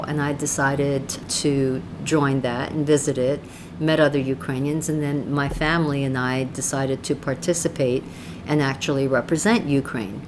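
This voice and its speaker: A woman from Pennsylvania, talking casually in an interview, reflective and pausing to find words. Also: deep voice, a little hiss or hum. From Pennsylvania, USA. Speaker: interviewee, musician.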